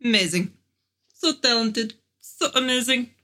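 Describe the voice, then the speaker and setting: Bright, gushing woman co-host. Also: a little high, lively intonation, measured pace. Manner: gushing, enthusiastic. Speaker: podcast co-host. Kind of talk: casual chat.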